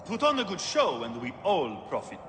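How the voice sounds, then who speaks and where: An actor playing a scornful, showy gladiator arena master in a dramatic video game, with haughty, theatrical delivery. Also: male voice, on the high side, wildly swooping pitch, normal speed, background noise. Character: gruff, scornful arena master and showman announcer.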